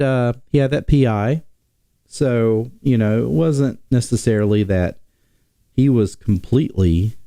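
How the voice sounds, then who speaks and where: A man hosting a casual sports talk stream, sick and nasal, rambling with many pauses and restarts. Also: medium pitch, gravelly, warm tone, no echo. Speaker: podcast host.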